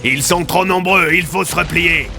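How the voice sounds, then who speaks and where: A man's voice: a little high, moderate pace, gravelly, over music, pitched above the performer's own voice. A man voicing an urgent, exclamatory police officer under fire in an audio drama. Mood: alarmed.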